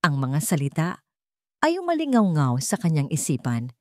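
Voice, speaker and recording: A woman storyteller delivers scripted drama with theatrical, deliberate phrasing. Her voice sits a bit low and moves in animated swoops of pitch. The recording is close and dry. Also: no room sound. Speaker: story narrator.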